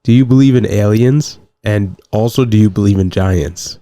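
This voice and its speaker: Co-host: low-pitched man, laid-back rambler, dry mic. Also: moderate pace, no room sound. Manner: relaxed, rambling with restarts. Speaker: podcast co-host. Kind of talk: casual podcast chat.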